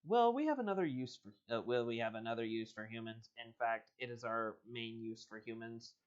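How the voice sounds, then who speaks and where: A man's voice: medium pitch, lively intonation, moderate pace, muffled recording, natural voice. A man voicing a menacing, theatrical alien recruiter who looks down on humans in a storybook reading. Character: sinister male alien recruiter, disdainful of humans.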